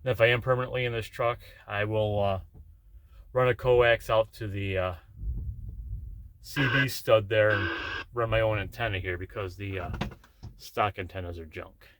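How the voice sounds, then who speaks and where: A man's voice: on the low side, steady tone, normal speed, pauses often, a bit bright, slight background noise. A man talking in a casual, rambling, plain-spoken way, like a truck driver vlogging from his cab. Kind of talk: casual rambling vlog chat.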